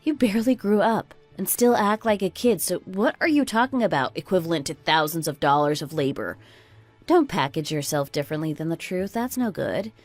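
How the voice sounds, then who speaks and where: A woman voices a sardonic, stubborn daughter-in-law for an audio drama. She drops to a lower, restrained register with measured, cutting delivery. The sound is dry, with music behind. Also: animated, no room sound. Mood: coolly cutting. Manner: low-key, measured, dry, pointed. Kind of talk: scripted radio drama dialogue.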